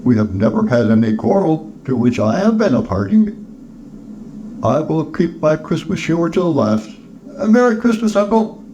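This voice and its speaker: A man plays a cheerful young nephew in a storybook, pitched well up high. He is earnest, eager to make peace and trips over his words. There is some noise in the recording. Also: very animated, moderate pace, muffled recording. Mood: merry. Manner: bright, pleading, stammering.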